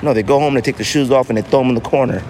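A man with a mid-pitched voice delivers a casual podcast monologue. He is emphatic and leans on repetition, with noticeable noise around him. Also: rapid-fire, darkish tone. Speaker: podcast host.

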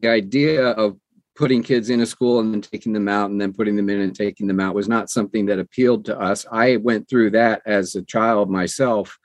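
Man in his 60s, lowish voice, close dry sound, folksy chatty interview guest telling stories. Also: fairly even intonation, brisk, dry recording. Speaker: interview guest, audiobook narrator. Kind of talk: conversational interview, storytelling.

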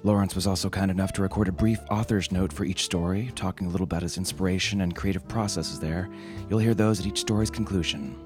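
A man's voice: low voice, fairly even intonation, fast talker, over music. A man hosting a podcast, reading scripted comic satire and introductions in a wry, theatrical way. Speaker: podcast host.

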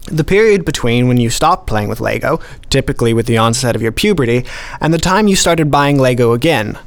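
Man acting as elderly male collector, polite, unhurried, matter-of-fact, contented, mid-pitched voice, radio play dialogue. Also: lively intonation, close to an everyday voice. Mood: amiable, quietly proud.

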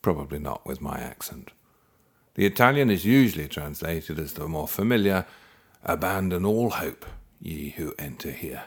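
A man's voice: rumbling low, expressive, normal speed, breathy edge. A man reading his own first-person story aloud in a dry, wry storytelling manner. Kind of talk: scripted first-person narration. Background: guitar music.

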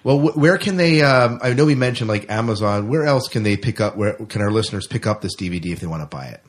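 Guy hosting a podcast, kinda low voice, just chatting casually. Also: lively intonation, fast. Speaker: podcast host. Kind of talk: casual interview chat.

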